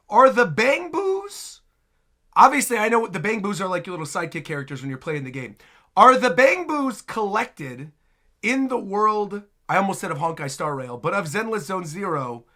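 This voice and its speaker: A man's voice: high-pitched, pushed and bright. A man hosting a reaction video, giving chatty, emphatic casual commentary.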